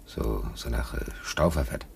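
A man's voice: rumbling low, unhurried, vocal fry, slight background noise, heavily acted. A man playing an uneasy, bitter working-class ship stoker in a German radio play, talking in a colloquial, brooding, indignant way. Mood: wary discovery.

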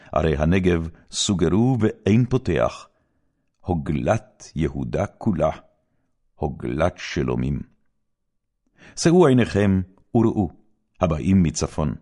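A man narrating scripture in a formal, solemn and measured declamatory style with clear phrasing. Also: low-pitched, darkish tone. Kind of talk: formal scripted Bible reading.

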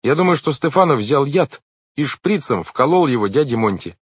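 A man's voice: mid-range voice, expressive, normal speed, husky, no echo, voice pushed up. A man voicing a clever, earnest, bookish boy from a storybook, explaining things emphatically. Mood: confident reasoning. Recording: dull, lo-fi, dry recording.

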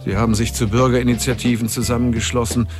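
A man's voice: medium pitch, flat delivery, fast, natural voice. A man narrating a radio drama epilogue in a formal, scripted style. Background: music.

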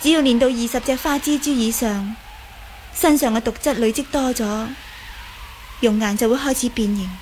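A woman's voice: on the high side, moderate pace, darkish tone, natural voice. An actress playing a bitter, defiant young martial arts woman in a Cantonese radio drama. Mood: proud, bitter. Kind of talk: Cantonese audio drama acting. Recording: faint background noise.

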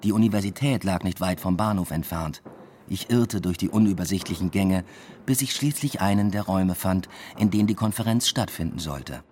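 An actor playing a weary, introspective academic who tells his own story in measured radio drama narration. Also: sits low, darkish tone, slight background noise, lightly acted. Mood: dry, observant. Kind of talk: scripted first-person radio drama narration.

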